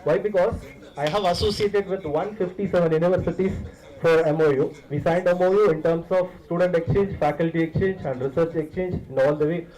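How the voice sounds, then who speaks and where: A man with an Indian accent and a slightly high voice gives a promotional talk to students as a university director. He explains point by point, working through lists and often repeating his words. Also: fairly even intonation, brisk, mellow, poor audio. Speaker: visiting university director of international programs.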